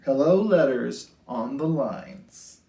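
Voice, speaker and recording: A man hosting a children's storytime, reading picture books aloud in an expressive, sing-song way with drawn-out phrases. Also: medium pitch, creaky. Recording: roomy, echoey indoor sound.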